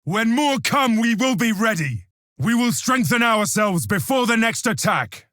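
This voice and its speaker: A man's voice: high voice, very animated, a little slow, sharp tone, dry recording, studio quality, full character voice. A man playing a proud, zealous space marine warrior in a video game, declaiming solemnly and commandingly with contempt for his foes. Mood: urgent command. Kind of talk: video game combat voice lines, theatrical declamation.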